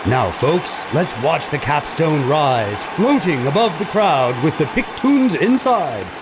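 A befuddled commentator played by a man announces a live event in mock broadcast style. His pitch moves a lot, with clear ambient noise around him. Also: sing-song, takes it slowly, crowd noise. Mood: puzzled, announcing.

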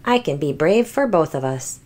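Woman voicing an animated, dramatic little dinosaur. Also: low-pitched, normal speed, pitched below the performer's own voice. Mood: brave, reassuring. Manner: exaggerated, expressive, emphatic. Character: dramatic, anxious little toy T-rex dinosaur, boastful but loving. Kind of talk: children's picture book read-aloud.

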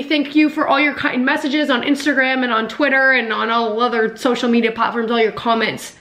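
Young woman vlogger, sick and raspy, animated and sarcastic, fairly high voice, filmed at home at desk distance. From Canada. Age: young adult. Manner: animated, sarcastic, laughs often. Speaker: YouTuber. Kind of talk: casual vlog, reading comments. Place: home kitchen, indoors.

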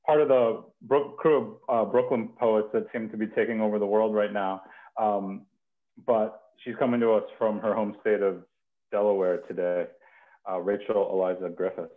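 Guy hosting on a video call, thin muffled line, lowish voice, kinda halting. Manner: halting, repeats words. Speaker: host of a poetry reading. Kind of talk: casual hosting chat. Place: home kitchen, indoors.

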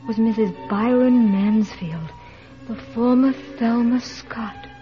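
A troubled, earnest teenage girl in a 1940s radio play. Her voice sits on the high side, in a dull vintage recording with music underneath. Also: slow pace. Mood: tense reading.

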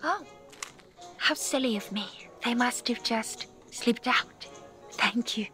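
An actress playing a coy blonde Hollywood starlet in an audio drama. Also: female voice, fairly high pitch, slow pace, pushed and bright, soft background music.

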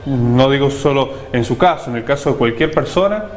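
A man, asking wordy, halting questions as a TV interviewer. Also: mid-range voice, lively intonation. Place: TV studio, standing.

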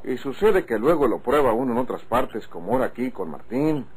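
Animated Mexican rancher Don Otilio. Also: male voice, medium pitch, a little fast, slightly rough. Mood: chatty. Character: Don Otilio, chatty wary male rancher. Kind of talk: golden-age Mexican radio drama acting. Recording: faintly noisy old radio recording.

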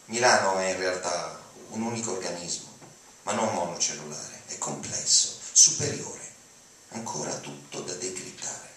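A man's voice: low-pitched, moderate pace, fairly bright tone. A man gives a declamatory, dramatic reading of prose. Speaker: reader of literary texts. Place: auditorium, at a lectern before an audience. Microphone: lectern mic, recorded from far camera. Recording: echoey hall, distant mic, room noise.

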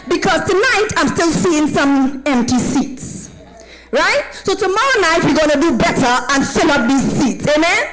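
A woman evangelist with a Trinidadian accent and a high-pitched voice addresses a church gathering. She speaks into a handheld microphone and keeps calling on listeners to answer back as she preaches. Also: expressive, normal speed, rough recording. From Trinidad and Tobago. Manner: call-and-response, asking listeners to affirm. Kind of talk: preaching, welcoming a congregation. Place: tented church platform with draped backdrop.

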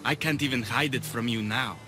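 A man playing a troubled, vulnerable young Athenian war veteran in a video game, speaking haltingly. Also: medium pitch, over music, natural voice. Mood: sombre, confessional. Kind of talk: video game cutscene acting.

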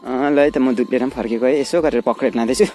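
A man's voice: medium pitch, steady tone, quick pace, mellow. A young man walks through his village home with a phone camera, narrating casually and calling out to family members along the way. Faint background music sits under his voice. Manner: chatty, mixes asides to family with narration to camera. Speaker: village vlogger. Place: rural hillside house and village, indoors and out.

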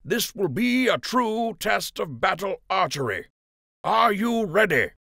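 Guy doing a stern rabbit army captain, high raised voice, barking out a rousing speech, audiobook style. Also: very animated, a little slow, creaky, pushed and bright, no room sound, clean studio sound, heavily acted. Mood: rousing, martial. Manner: declaiming, commanding. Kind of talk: audiobook character voice.

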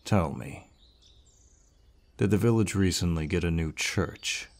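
An actor playing a large, gruff but kindly barbarian warrior in an audio roleplay, measured and reassuring, with a deep voice. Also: male voice, darkish tone. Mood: grave, weary. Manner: measured, reassuring, with pauses and sighs. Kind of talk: scripted audio roleplay, addressing the listener. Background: birdsong forest sound effects.

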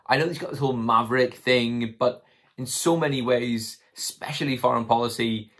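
A man in his twenties with a slightly hoarse voice, talking casually and tentatively to camera as he thinks through his commentary. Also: medium pitch, moderate pace, a bit bright. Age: 20s. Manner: tentative, hedging, thinking aloud. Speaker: political commentary vlogger. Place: small room at home, indoors.

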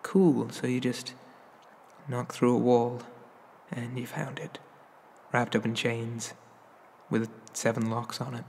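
A man playing a shy, awkward, inexperienced young incubus demon in an ASMR roleplay, stammering and apologetic, with a husky, breathy voice. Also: medium pitch, level tone, takes it slowly, long pauses, a little mellow, long reverb tail, slight background noise.

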